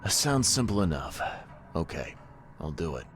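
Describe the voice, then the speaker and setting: A man's voice: low-pitched, very animated, moderate pace, soft background music. A man playing a terse, world-weary private detective in a video game, dry and guarded in manner. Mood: terse, businesslike. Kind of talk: video game cutscene acting.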